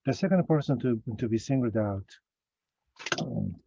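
Man, careful halting lecture. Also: mid-range voice, lively intonation, creaky, dark tone, highs cut off, a little hiss or hum. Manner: slow, careful, with frequent hesitations and self-corrections. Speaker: academic speaker. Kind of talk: recorded conference lecture.

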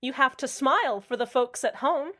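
A woman's voice: high voice, animated, normal speed, a bit bright. Woman playing a lilting, menacingly cheerful male radio host. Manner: relentlessly cheerful, sweetly condescending. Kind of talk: radio drama acting.

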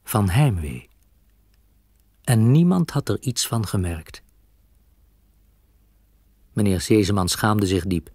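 Low-voiced male children's storyteller. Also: animated, takes it slowly, long pauses, breathy edge, no echo, studio quality. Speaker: narrator. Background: soft music.

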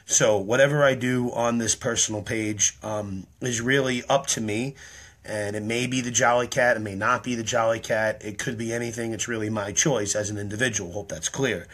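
Tired earnest man, bright tense voice. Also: lowish voice, fairly even intonation, moderate pace. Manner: earnest, rambling explanation with many ums. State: tired, slightly hoarse. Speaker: online performer explaining his act. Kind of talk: casual talk to camera. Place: small room indoors. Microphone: webcam or phone at close range.

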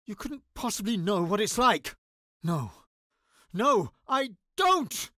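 An actor playing a guarded, anxious scholar wizard in a video game, speaking in an articulate, wry and dramatic manner. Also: male voice, high-pitched, big pitch swings, slow pace, sharp tone, no room sound, clean studio sound, heavily acted. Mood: hesitant, pleading.

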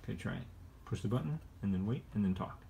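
A man's voice: fairly low pitch, expressive, quick pace, vocal fry, phone-quality sound. A middle-aged man chatting casually at home, patiently giving instructions like a parent. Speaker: parent coaching children. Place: living room at home, indoors. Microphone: behind a handheld phone camera. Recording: some room noise, home phone video.

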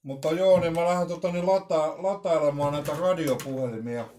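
Mellow-voiced man thinking aloud at home. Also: fairly high pitch. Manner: halting, thinking aloud with many fillers and restarts. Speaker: vlogger unboxing radios. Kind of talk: casual unboxing commentary. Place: small room at home with shelves. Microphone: webcam at desk.

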